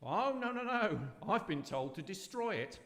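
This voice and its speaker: A man's voice: sits high, very animated, normal speed, a bit bright, exaggerated voice. A man playing a fussy, posh, cheerfully sinister estate agent in a live radio drama, hesitant and chuckling. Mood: gleefully sinister. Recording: long hall reverb of live stage.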